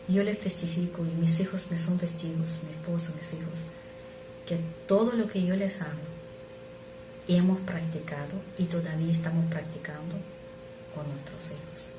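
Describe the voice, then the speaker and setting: A middle-aged woman who is not a native speaker, preaching an earnest, measured sermon that sometimes drops to soft asides. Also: low-pitched. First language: not Spanish. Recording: echoey room with noise.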